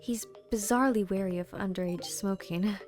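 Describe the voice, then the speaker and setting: A woman's voice: medium pitch, normal speed, darkish tone, faint music behind. A woman streamer casually reading a game aloud as she plays through it. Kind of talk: game playthrough narration, casual.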